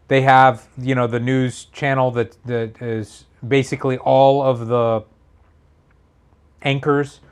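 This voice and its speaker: A man chats casually as co-host of a talk show. His voice sits at a medium pitch and has a slight rasp. The delivery is informal and conversational. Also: little pitch movement, slow pace. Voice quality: slightly raspy. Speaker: show co-host reading viewer messages. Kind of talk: casual chat.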